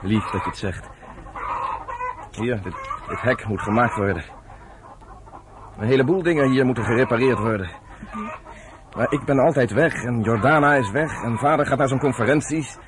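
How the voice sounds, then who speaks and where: A man acting a steady young son in a radio play, earnest and affectionate. His voice sits at a middle pitch, with faint noise on the old recording. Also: moderate pace, long pauses, natural voice. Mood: proud, earnest. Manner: earnest, reassuring. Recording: noisy old radio play with farm animal sounds.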